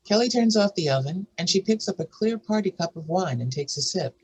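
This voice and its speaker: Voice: mid-range voice, lively intonation, fairly quick, breathy edge. A narrator reading out scene headings and stage directions at a screenplay table read.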